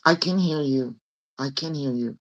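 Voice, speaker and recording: A woman with a raspy voice, hosting a casual live-stream chat and laughing often. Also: a bass voice, normal speed, slight background noise, low-quality recording. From Nova Scotia. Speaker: host. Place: home room with fake northern lights projector.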